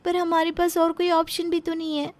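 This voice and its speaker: Anxious young daughter for a cartoon , played by a woman, extremely high voice, eager to make amends. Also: monotone, a little fast.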